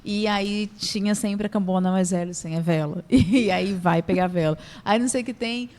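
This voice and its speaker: A Brazilian woman hosting a casual podcast chat, laughing often and talking in an animated, chatty way. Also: mid-range voice, normal speed. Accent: Brazilian Portuguese. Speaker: podcast host.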